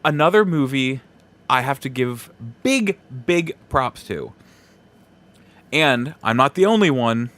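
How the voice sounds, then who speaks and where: A man hosting a podcast, giving casual solo opinions in halting, broken phrases with emphatic stresses. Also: mid-range voice, expressive, fairly bright tone. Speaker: podcast host.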